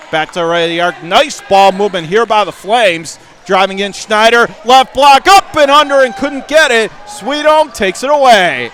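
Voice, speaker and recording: A man doing radio play-by-play of a basketball game in clipped, running phrases with bursts of excitement. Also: sits high. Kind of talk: live basketball commentary. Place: indoor high school basketball gym.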